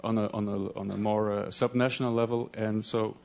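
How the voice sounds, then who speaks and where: A man from Belgium, a Dutch speaker, giving a conference presentation in a halting way, often restarting as he searches for words. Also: fairly low pitch, level tone, vocal fry, darkish tone.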